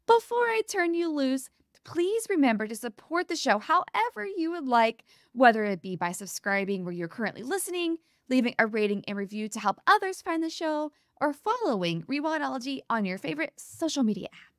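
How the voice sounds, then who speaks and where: Woman, podcast host, enthusiastic, chatty, slightly high voice, dry close sound. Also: big pitch swings, no room sound. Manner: upbeat, enthusiastic, fast-talking. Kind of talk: casual interview.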